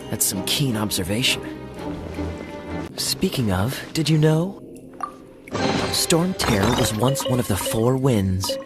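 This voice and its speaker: A man's voice: mid-range voice, expressive, takes it slowly, long pauses. A man playing a suave, teasing, self-assured knight officer in an anime-style video game, wry and theatrical. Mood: composed. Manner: smooth-talking, wry, theatrical. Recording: game music behind the voice.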